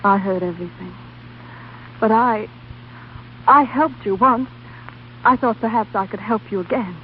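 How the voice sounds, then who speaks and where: A woman's voice: mid-range voice, measured pace, lightly acted. An actress playing a tender, earnest woman in a 1930s radio drama. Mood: tender, pleading. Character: tender, earnest woman offering help to an old flame. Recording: dull, noisy old radio recording.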